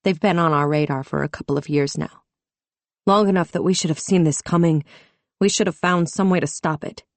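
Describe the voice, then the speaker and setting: A fierce young policewoman, off duty and raw with anger, her voice climbing high and loud as she rails and shouts, voiced by a woman narrating an audiobook. The reading is close and dry, with no room sound. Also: fairly even intonation, a little fast, no room sound. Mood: bitter. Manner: shouting, furious, tearful.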